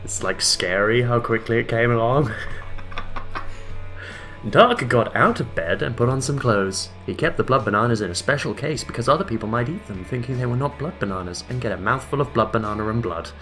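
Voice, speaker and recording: A man who is a bit ill reading fan fiction aloud in a deadpan comic way. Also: medium pitch, fairly quick, soft background music. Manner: deadpan reading, breaking into laughter. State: ill with a headache.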